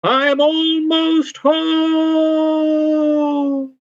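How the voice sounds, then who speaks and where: Man as young storybook boy, high-pitched, eager, calling out, muffled recording. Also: little pitch movement, drawn-out words, heavily acted. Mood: excited, calling. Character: young excitable boy, Lauren, calling to his papa.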